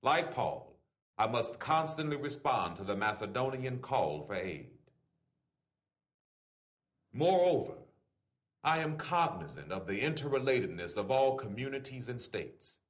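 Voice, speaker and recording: A man reading a written letter aloud in an oratorical style, with deliberate, formal phrasing. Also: mid-range voice, sing-song. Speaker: reader.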